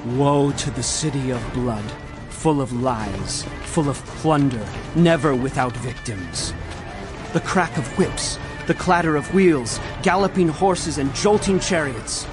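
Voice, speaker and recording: A man's voice: mid-range voice, slow pace, music in the background, natural voice. Man as solemn, declamatory prophet of doom. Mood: grim. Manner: declamatory, emphatic, dramatic. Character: male prophet narrator, solemn, urgent herald of doom. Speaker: narrator. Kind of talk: dramatized scripture reading.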